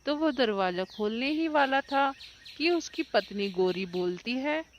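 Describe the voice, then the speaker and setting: Slow, high-voiced woman storyteller. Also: animated, slight background noise. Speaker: narrator. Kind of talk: scripted children's story narration.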